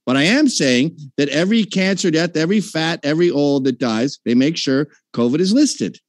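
Combative, profane male debater; lively, highish delivery, dry. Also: moderate pace, no room sound. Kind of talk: heated debate.

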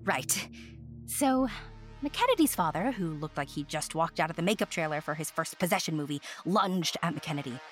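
A woman's voice: low-pitched, expressive, moderate pace. A woman playing a brash, candid young friend who narrates wryly and conversationally in a horror-comedy audio drama. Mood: wry, confiding. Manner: conversational, wry. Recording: prominent music underneath.

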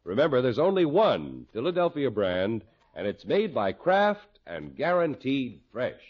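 A man's voice: mid-range voice, wildly swooping pitch, unhurried. An American man working as a 1950s radio announcer, reading scripted credits and sponsor messages. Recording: muffled old radio broadcast with music.

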